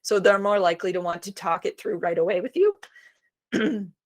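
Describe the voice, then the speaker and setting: A woman's voice: mid-range voice, normal speed. A woman presenting a homeschooling webinar in a reassuring, chatty way, with frequent fillers. She is starting to lose her voice. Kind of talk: informal instructional webinar talk.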